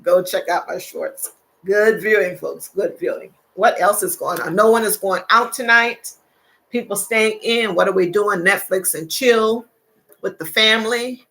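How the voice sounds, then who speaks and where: Older female host, gravelly voice, chatty and quick to laugh. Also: mid-range voice, fairly bright tone. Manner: chatty, laughs often, repeats phrases. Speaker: livestream host. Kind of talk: casual live chat reading viewer comments.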